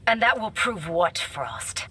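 An actress playing a proud, resolute young warrior princess in a video game, speaking in formal, measured commands. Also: female voice, lowish voice, sing-song, pushed and bright, far from the mic, slight background noise, exaggerated voice. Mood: stern, composed. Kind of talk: scripted video game fight dialogue.

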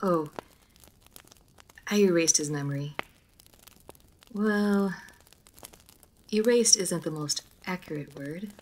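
A reserved noble vampire lady played by a woman speaks in a low voice with measured, formal phrasing. She is guarded and melancholy, as in an intimate roleplay audio drama. There is audible noise behind her. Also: darkish tone, rough recording. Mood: reserved, resigned.

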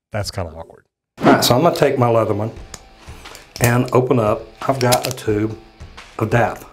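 A man's voice: lowish voice, a little mellow. A man, a plumber casually reacting to and trying out home hacks in a plainspoken, practical way. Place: studio set and a small restroom. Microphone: boom mic on desk and lapel mic.

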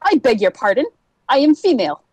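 A woman's voice: fairly high pitch, sing-song, brisk, a little mellow, slightly rough, dry recording, muffled recording. A woman playing an indignant fantasy guard, stern and affronted, in an animation audition. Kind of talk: character voice acting audition.

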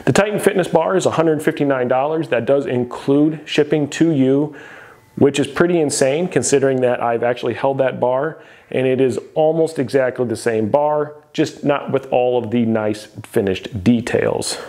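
A man's voice: medium pitch, normal speed, darkish tone. An American man in his thirties hosting a casual product review, explaining things conversationally to camera. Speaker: host reviewing gym equipment. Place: garage gym, indoors.

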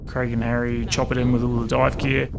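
A man's voice: mid-range voice, monotone, brisk, creaky, noisy background. Man explaining a cave expedition plainly. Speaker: cave diver. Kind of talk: documentary interview.

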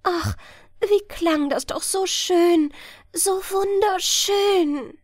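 A woman's voice: very high-pitched, drawn-out words, no room sound, clean studio sound, voice pushed up, exaggerated voice. A woman voicing a curious young fisherman's daughter in a fairy tale, speaking with dreamy, wistful wonder. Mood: enchanted wonder.

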